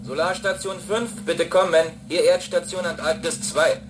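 A man playing a brisk, skeptical ground-station radio operator in a radio drama, with a clipped, official manner. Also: a little high, fairly even intonation, music in the background, close to an everyday voice. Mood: official, dry. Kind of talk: German radio drama acting.

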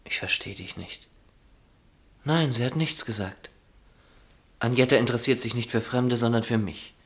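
An actor playing a guarded, ironic young upper-class son in a radio drama, with a clipped, dryly teasing way of talking. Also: male voice, mid-range voice, moderate pace, lightly acted. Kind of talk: German radio drama acting.

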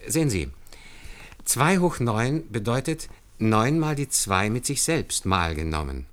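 A man's voice: mid-range voice, sing-song, unhurried. An actor playing an earnest, deferential man who patiently explains hand arithmetic step by step in a German radio play. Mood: eager, explanatory. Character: earnest, deferential male calculator demonstrating hand arithmetic to superiors.

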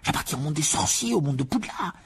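A woman's voice: sits low, lively intonation, quick pace, a little hiss or hum, pitched above the performer's own voice. A woman voicing an anxious, earnest young boy wizard who pleads his case. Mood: desperate.

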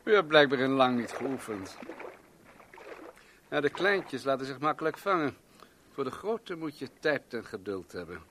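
Rich self-assured bon vivant, pompous lecturing manner, radio play, medium pitch, faint background noise. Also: male voice, very animated, normal speed, long pauses, a bit bright. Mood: smug, commanding.

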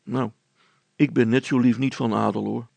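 A reluctant village helper from a storybook, played by a male reader, plain-spoken and drily cheeky. He grumbles in an everyday mid-pitched voice with heavy gravel in it. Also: fairly even intonation, normal speed, a little mellow, no room sound. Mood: dryly reluctant. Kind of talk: storybook character voice.

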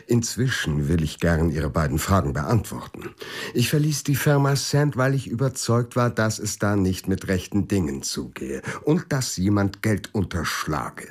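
Urbane, husky-voiced lord. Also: male voice, low-pitched, expressive, moderate pace. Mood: composed, businesslike. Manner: urbane, assured, formally polite. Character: composed, shrewd English lord, man of the world. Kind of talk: German radio drama acting.

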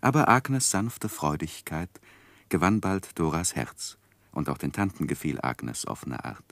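An actor playing an earnest, tender gentleman who narrates his life in a gentle, reflective, expressive way in a 1960s German radio play. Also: rumbling low, measured pace, gravelly, dry recording, exaggerated voice. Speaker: first-person narrator and lead.

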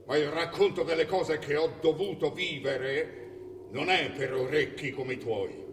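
In a distant, reverberant hall, a man plays the ghost of a dead king. The voice sits high for a male and moves slowly, declaiming with solemn, fatherly pleading in a stage-drama style. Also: lightly acted. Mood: solemn, imploring. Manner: slow, emphatic, declamatory. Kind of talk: theatrical stage acting. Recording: distant echoey hall, faint noise.

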